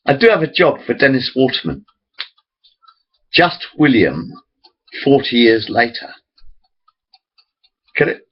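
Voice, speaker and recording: A man's voice: mid-range voice, big pitch swings, takes it slowly, long pauses, slightly rough, dull audio. A man in his forties rambling chattily to his webcam, interrupting himself often. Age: 40s. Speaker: vlogger. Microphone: built-in computer camera mic.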